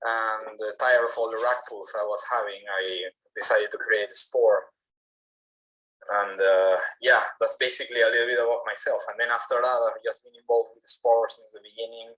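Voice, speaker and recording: Soft-spoken man from Peru, explaining. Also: on the low side, steady tone, low-quality recording. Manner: measured, slightly halting, explanatory. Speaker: guest, project founder. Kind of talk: casual interview. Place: home in France, late at night.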